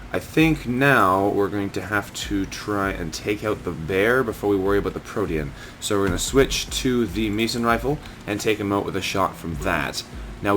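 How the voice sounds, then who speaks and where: A man with a hoarse, scratchy voice giving steady, methodical commentary as he plays a video game. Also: on the low side. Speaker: gaming channel host. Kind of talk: let's play commentary. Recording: game gunfire and ambience behind the voice.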